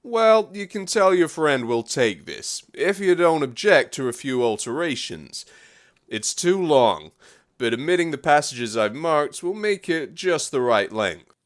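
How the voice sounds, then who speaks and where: Slow, swooping, worldly male editor. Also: fairly high pitch, big pitch swings. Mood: offhand, businesslike. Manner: offhand, drawling, dismissive. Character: brisk, worldly, businesslike male newspaper editor. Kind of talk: period audio drama acting.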